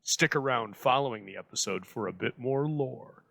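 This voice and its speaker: A man's voice: mid-range voice, normal speed, creaky, fairly bright tone. A man narrating a scripted, dramatic introduction as host, close on the mic. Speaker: host introducing old radio episode.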